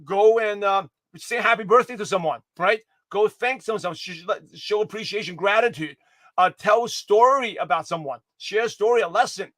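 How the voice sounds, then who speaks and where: A man giving an energetic livestream pep talk to his viewers, his voice hoarse and slightly raspy, rambling with many restarts and self-corrections. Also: high voice, normal speed, no echo. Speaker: motivational speaker giving a daily pep talk.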